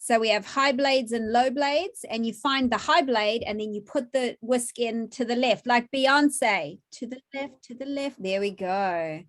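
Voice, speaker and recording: A woman's voice: fairly high pitch, a little slow, hardly any pauses. A woman, hosting a virtual cooking class from her home kitchen, chatty and upbeat as she questions a child.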